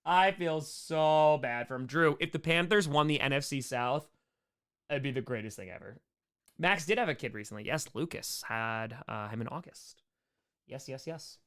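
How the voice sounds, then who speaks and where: A man's voice: mid-range voice, moderate pace, fairly bright tone, clean tone. A man hosting a casual livestream Q&A, joking and repeating short phrases as he goes. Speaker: streamer hosting a live Q&A.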